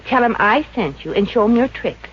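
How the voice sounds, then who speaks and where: A woman's voice: fairly high pitch, animated, normal speed, natural voice. A woman playing a confident, street-smart showgirl with a breezy, sassy, slangy delivery in 1950s radio comedy style. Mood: breezy, encouraging. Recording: dull, noisy old-time radio recording.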